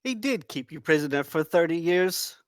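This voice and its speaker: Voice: mid-range voice, lively intonation, no echo, natural voice. Performer as earnest, cautious companion to royalty, close-miked. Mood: dry. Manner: measured, courteous, formal diction. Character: earnest, cautious travel companion and servant to a princess. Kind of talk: acting in a film script table read.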